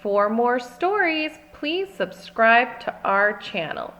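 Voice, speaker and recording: A woman's voice: a little high, sing-song, takes it slowly, bright, forceful tone. A woman reading a children's storybook aloud, expressively and carefully phrased for small children. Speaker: storybook reader. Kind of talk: scripted children's read-aloud.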